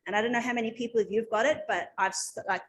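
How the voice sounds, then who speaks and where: A woman's voice: a little high, fairly even intonation, very fast, slight background noise. A woman hosting a community meeting informally, somewhat hesitant and loosely organised as she speaks. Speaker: meeting host. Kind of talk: informal introduction of a guest speaker.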